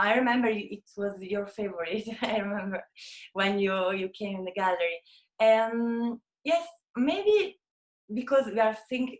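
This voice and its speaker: Italian-accented woman photographer, mid-pitched and hesitant, on a video call from a roomy, echoing space. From Italy. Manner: hesitant, searching for words with many fillers. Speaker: photographer, guest. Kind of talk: interview.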